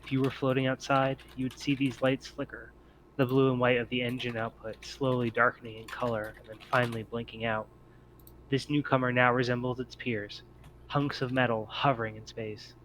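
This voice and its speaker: A man reading scripted, descriptive scene-setting narration as game master of a tabletop game, in a measured storytelling way. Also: mid-range voice, level tone, fairly bright tone, poor audio. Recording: noticeable background noise.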